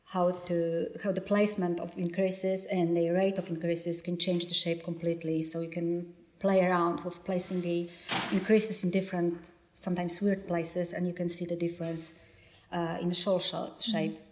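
A woman's voice: lowish voice, flat delivery, normal speed, a little mellow. Nervous Polish-accented woman, echoey room. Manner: nervous, hesitant, many fillers. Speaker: knitting designer and teacher. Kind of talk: interview. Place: yarn festival venue.

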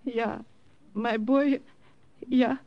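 An anxious, motherly old German grandmother in an old-time radio drama. She speaks with a German accent in a halting, placating way, and the recording is dull and muffled. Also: a little high, steady tone. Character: anxious, kindly old German grandmother who runs a bakery. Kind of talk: 1950s radio drama acting.

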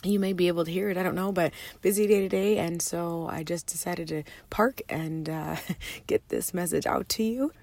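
Hoarse woman podcasting from her minivan. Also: lowish voice, normal speed, darkish tone, no room sound. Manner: upbeat and chatty, with fillers and restarts. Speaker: podcast host and money coach. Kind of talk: solo podcast monologue. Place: parked minivan.

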